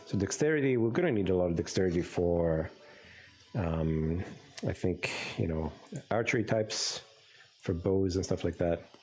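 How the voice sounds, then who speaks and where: A man's voice: deep voice, animated, moderate pace, darkish tone, soft background music. A man with a Greek accent streaming a game, thinking aloud in a loose, rambling way with plenty of fillers. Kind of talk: casual live-stream commentary.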